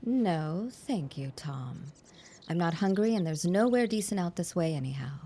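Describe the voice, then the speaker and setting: A woman's voice: lowish voice, expressive, normal speed, mellow, slight background noise. An actress playing a haughty, spiteful woman in a period audio drama, with a cool, cutting, disdainful manner. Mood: cool, dismissive.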